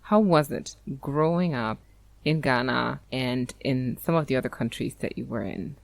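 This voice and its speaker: Gravelly-voiced enthusiastic woman podcast host. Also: a bass voice, normal speed, no room sound. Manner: enthusiastic, upbeat. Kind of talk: casual interview chat.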